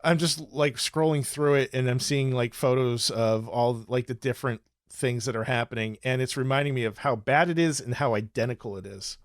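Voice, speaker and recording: A man's voice: mid-range voice, steady tone, brisk, few pauses, dry recording, clean studio sound. A man talking informally and casually as a podcast co-host. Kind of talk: casual chat.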